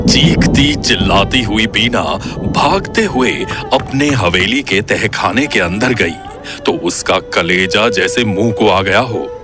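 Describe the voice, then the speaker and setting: Low-voiced man narrating horror. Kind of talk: dramatic scripted horror narration.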